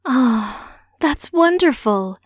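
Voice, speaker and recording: A woman voicing a caring, gentle mother of a grown child in an audiobook story. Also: sits high, animated, very slow, no room sound, clean studio sound, pitched above the performer's own voice, full character voice. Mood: eager.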